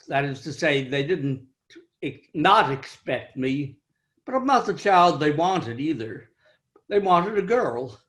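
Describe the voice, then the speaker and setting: An older man with a high-pitched voice tells a wry, self-deprecating story about his own life in a club speech over a video call. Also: fairly bright tone. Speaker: club speaker.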